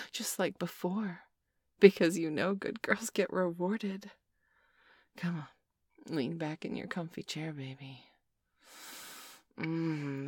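A woman's voice: low voice, slightly rough, dry recording, studio quality. A woman performing an intimate roleplay, teasing and commanding, slipping often into whispers.

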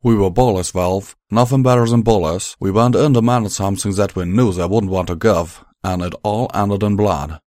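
A man voicing a rough-spoken young male pony with a drawl, strained and emotional. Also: fairly low pitch, moderate pace, darkish tone, no room sound, studio quality, natural voice.

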